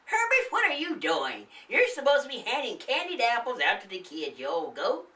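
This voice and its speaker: A woman's voice: a little high, wildly swooping pitch, normal speed, bright, forceful tone, pitched above the performer's own voice, heavily acted. A woman voicing an old wife in a children's story, scolding and motherly by turns, recorded roomily at a distance. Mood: bossy scolding. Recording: roomy, distant microphone.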